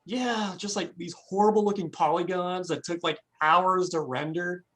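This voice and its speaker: A man of forty, a guest in a casual interview, talking in a relaxed, rambling way and laughing easily. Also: high voice, moderate pace. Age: 40s. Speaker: guest, animator. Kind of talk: casual chat.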